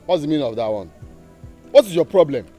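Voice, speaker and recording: A man's voice: on the high side, big pitch swings, quick pace, mellow, faint music behind. A man playing a touchy, quarrelsome Nigerian young man. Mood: angry. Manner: defensive and quarrelsome, stammers when angry. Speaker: young man in a family comedy skit. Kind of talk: comic skit acting.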